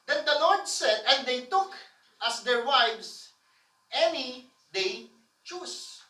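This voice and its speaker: A man, preaching loudly and emphatically to a congregation, often asking for amens. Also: extremely high pitch, lively intonation, takes it slowly. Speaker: pastor. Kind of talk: sermon. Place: church stage with colored lights. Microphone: far camera. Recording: echoey room from far off.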